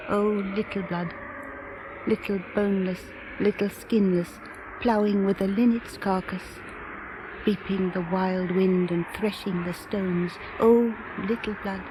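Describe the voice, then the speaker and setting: A solemn woman played by an actress recites dark verse like an incantation, slowly and at a medium pitch. It sounds like 1980s radio drama, with clear noise from an old broadcast recording. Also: close to an everyday voice. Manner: measured, incantatory.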